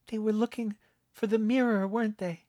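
A man narrating a story, voicing an earnest, worried young boy in a high, urgent voice. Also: steady tone, normal speed, darkish tone, dry recording, clean studio sound, close to an everyday voice. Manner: urgent, pleading boyish voice.

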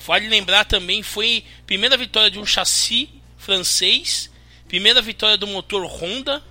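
A man's voice: sits high, sharp tone. A Brazilian man co-hosting a motorsport show, listing race results and bantering casually. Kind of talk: casual podcast chat.

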